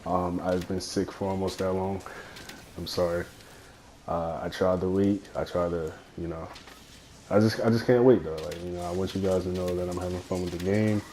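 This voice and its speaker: A young man with a cold and a raspy voice, rambling through casual gameplay commentary into a phone headphone mic, full of fillers and swearing. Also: sits low, fairly even intonation, normal speed, mellow, a little hiss or hum. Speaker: gaming YouTuber.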